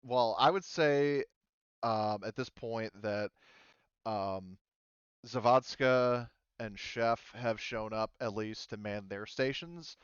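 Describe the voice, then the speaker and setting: A man narrating a tabletop roleplaying game as game master, improvising in a halting way with many pauses. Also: fairly low pitch, clean tone, dry recording, muffled recording.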